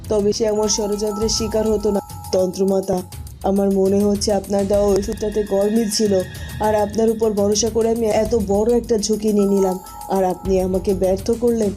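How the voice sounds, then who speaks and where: A woman's voice: medium pitch, warm tone, close to an everyday voice. A woman voicing a scheming, impatient evil queen in a cartoon fairy tale, haughty and agitated.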